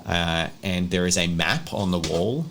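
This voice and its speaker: Deep-voiced man running a tabletop game. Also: a little slow, fairly bright tone. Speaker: game master. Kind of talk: narrating a tabletop roleplay game, improvised. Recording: noisy room ambience.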